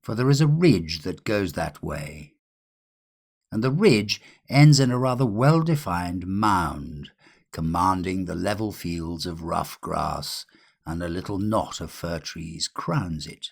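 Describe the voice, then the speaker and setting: A man reading a literary ghost story in a measured, expressive way with dramatic pauses. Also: sits low, creaky. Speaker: audiobook reader.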